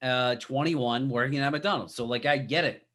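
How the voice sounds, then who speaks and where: A man around forty, a podcast co-host, chatting casually in a halting way and repeating himself. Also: medium pitch, a bit bright. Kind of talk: casual chat.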